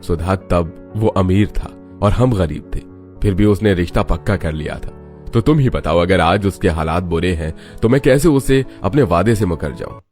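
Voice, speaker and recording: A man playing a calm, indulgent middle-aged father in a moral story, reasoning in an unhurried manner. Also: sits low, soft background music, lightly acted. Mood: earnest. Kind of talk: Hindi moral story voice acting.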